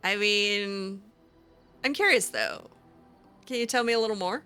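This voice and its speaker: A woman's voice: mid-range voice, unhurried, pushed and bright, smooth, faint music behind. A woman improvising conversational dialogue as she roleplays through a video game, chatty and a little hesitant. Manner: hesitant, chatty, reacting with short interjections. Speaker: roleplay gamer, channel host.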